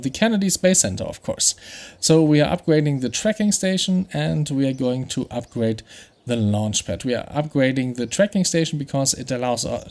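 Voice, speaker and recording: A man's voice: mid-range voice, darkish tone. A man with a German accent, German his first language, hosting a game playthrough and explaining his steps in a chatty way that thinks aloud. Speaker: gaming channel host.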